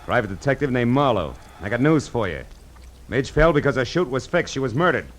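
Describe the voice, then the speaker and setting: An actor playing a tough, cool-headed private detective in 1940s radio noir style, with wry, clipped slang. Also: male voice, medium pitch, sing-song, a little fast, a little hiss or hum, close to an everyday voice. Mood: firm, forceful. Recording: old radio recording with music behind.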